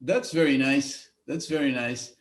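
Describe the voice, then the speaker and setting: A man's voice: mid-range voice, fairly even intonation, measured pace, reverberant. A man with a Brazilian Portuguese accent, a native Portuguese speaker, interviewing a guest in a casual video call, often repeating his words. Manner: repeats words, stumbles, friendly.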